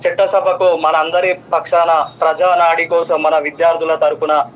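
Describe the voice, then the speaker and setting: A man's voice: a little high, little pitch movement, normal speed. A man with a Telangana Telugu accent phones a live show as a caller, making his case emphatically in long sentences. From Yadadri district, Telangana, India. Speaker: phone-in caller, graduate voter. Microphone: telephone. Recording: dull, noisy telephone line.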